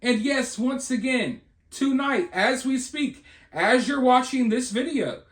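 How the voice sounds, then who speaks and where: An American man hosts informal news commentary in a notably high-pitched voice. He speaks conversationally and rhetorically, checking in with listeners as he speculates. The recording is clearly roomy, with echo around his words. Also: animated, takes it slowly, fairly bright tone. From United States. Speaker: online video commentator and channel host.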